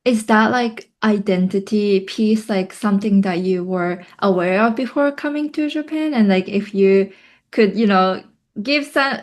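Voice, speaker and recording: A woman hosts an interview and asks loose, conversational questions. Her voice sits in a middle pitch range, and she listens with frequent soft backchannels. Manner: attentive, many soft backchannels, filler-heavy questions.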